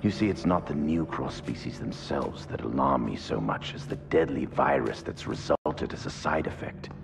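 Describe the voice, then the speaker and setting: Very deep, gravelly, grave scientist. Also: male voice, animated, moderate pace, noisy background, exaggerated voice. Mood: grim, bitter. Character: grave male scientist, wary asylum-held former monster. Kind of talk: scripted video game acting. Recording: music behind, at times echoey and distant.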